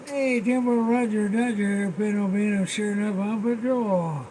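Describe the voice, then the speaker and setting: A man's voice: sits high, unhurried. A man making casual, slangy chatter over a CB radio. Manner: slangy, repetitive sign-offs, Spanish words mixed in. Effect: CB radio transmission. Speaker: CB radio operator. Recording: very noisy CB radio.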